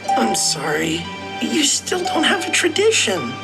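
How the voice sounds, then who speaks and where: An actor voicing a cheerful, naive, childlike little snowman in an animated storybook. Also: medium pitch, measured pace, vocal fry, over loud music, exaggerated voice. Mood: sad.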